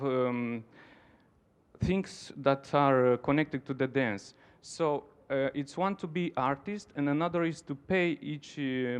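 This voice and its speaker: A man's voice: medium pitch, creaky, clear, resonant tone. A man from Romania with a Romanian accent, speaking non-native English in an informal conference talk, searching for his words as he goes. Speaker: dance centre founder, conference participant.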